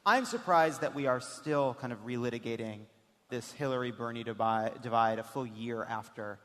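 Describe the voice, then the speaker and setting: A man hosting a live political comedy talk show, speaking emphatically with halting, restarted phrases. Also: medium pitch, a bit bright. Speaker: host. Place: indoor stage before a live audience. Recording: echoey hall, live stage.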